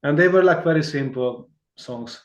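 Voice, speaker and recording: A man's voice: fairly high pitch, normal speed, reverberant. A man from Hungary, speaking, talks in a rambling, friendly way as a guitarist guest in a casual interview. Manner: rambling and friendly, many fillers and restarts, laughs easily.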